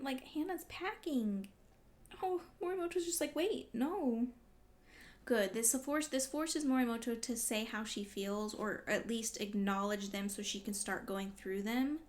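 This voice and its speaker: A woman with a fairly high voice gives casual, off-the-cuff commentary as she reads. She is gushing and exclamatory, clearly invested in the characters she reacts to. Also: darkish tone. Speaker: manga read-along vlogger.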